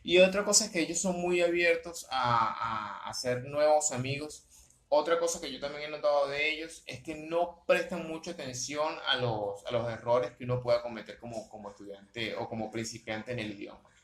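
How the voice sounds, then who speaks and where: A man's voice: mid-range voice, moderate pace, a bit bright, reverberant. A man from Latin America co-hosting a casual podcast about learning English, often repeating words as he thinks. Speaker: podcast co-host, English teacher.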